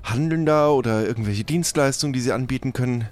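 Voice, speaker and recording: A man's voice: medium pitch, quick pace, darkish tone, soft background music, natural voice. A man playing a flustered, wary shopkeeper in a pirate role-play, stammering and repeating his words as he haggles. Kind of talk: improvised role-play dialogue.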